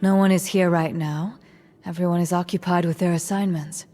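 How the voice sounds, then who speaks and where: An actress playing a composed witch of a castle coven, formal and measured, in a video game. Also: on the low side, steady tone, dark tone, soft background music, lightly acted. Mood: formal, cool. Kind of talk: dramatic video game cutscene acting. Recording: long hall reverb.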